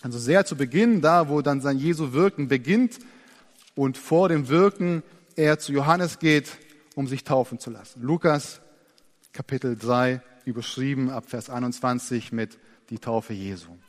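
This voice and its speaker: A man preaching a sermon, earnest and expressive, slowing down and dropping to a soft voice to stress his points. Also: medium pitch. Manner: earnest, expressive, slows down and drops soft for emphasis. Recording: long church reverb.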